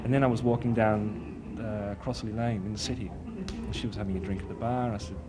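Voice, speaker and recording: A man's voice: fairly low pitch, fairly even intonation, fairly quick. Rambling young man, street noise. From born in Italy, raised in Australia. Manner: rambling, hesitant, full of "sort of". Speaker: clothing designer and shop owner. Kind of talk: casual street interview. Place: outdoors on a city street. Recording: street traffic noise behind.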